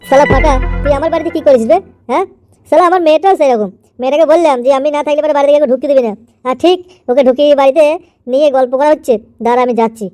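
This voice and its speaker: A woman's voice: high-pitched, racing, music in the background, close to an everyday voice. A woman voicing a stern, suspicious father in a cartoon comedy, speaking in a scolding, nagging manner. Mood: suspicious, annoyed.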